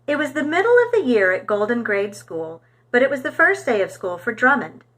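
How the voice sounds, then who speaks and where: Woman, children's storytime narrator, highish pitch, very lively intonation, expressive reading. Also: brisk. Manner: animated, clear storytelling for young children.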